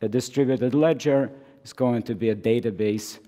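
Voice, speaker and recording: A hoarse man who is losing his voice gives an academic conference talk, halting with many fillers and restarts, amplified through a microphone. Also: medium pitch, dark tone. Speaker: conference speaker. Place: lecture hall. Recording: reverberant lecture hall.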